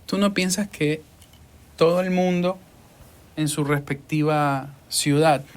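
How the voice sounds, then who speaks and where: Relaxed coastal Ecuadorian male host. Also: a little high, slow pace. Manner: relaxed, conversational questioning. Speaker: podcast host and voice-over artist. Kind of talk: casual interview conversation.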